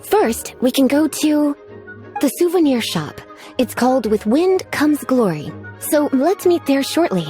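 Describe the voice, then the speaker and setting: A woman's voice: high-pitched, big pitch swings, unhurried, dark tone, faint music behind. A woman playing a coy, languid adult female librarian in an anime game, teasing and sweetly menacing as she drawls. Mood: playful.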